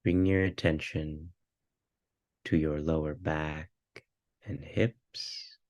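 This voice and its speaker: A man with a low voice guides a meditation at an extremely slow pace. He speaks calmly in short, measured phrases, leaving pauses between them. The sound is dry and close, without room echo. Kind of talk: guided meditation, spoken instructions.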